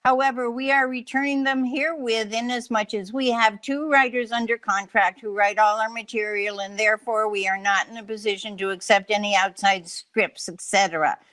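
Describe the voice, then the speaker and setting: A woman's voice: fairly high pitch, fairly even intonation, moderate pace, hardly any pauses, fairly bright tone, lightly acted, low-quality recording. A woman playing a formal, politely dismissive secretary reading out a letter in a radio play. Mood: brisk formality. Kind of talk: radio drama acting.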